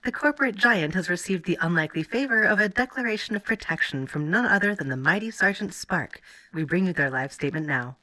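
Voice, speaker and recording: Woman acting newsreader, brisk, formal, lowish bright voice, dry sound, audio drama bulletin. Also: animated, dry recording, poor audio. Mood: brisk, grandiose. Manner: crisp, grandiose announcer delivery. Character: brisk, formal female television news reporter.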